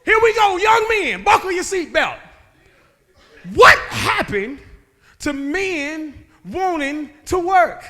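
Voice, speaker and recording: Bright high-voiced shouting preacher. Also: male voice, big pitch swings, slow. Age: 30s. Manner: conversational preaching that builds to shouting, with laughs and rhetorical questions. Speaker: guest preacher. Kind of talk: sermon. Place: church sanctuary.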